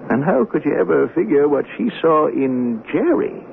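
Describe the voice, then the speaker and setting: A wry, genteel, moneyed commuter in a radio mystery. His wordy, arch delivery swings up and down with a creaky edge. It comes over a thin, phone-like broadcast. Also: on the high side, sing-song, moderate pace, heavily acted. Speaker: actor playing train passengers. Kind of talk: 1970s radio drama acting. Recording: tinny, faintly noisy old radio broadcast.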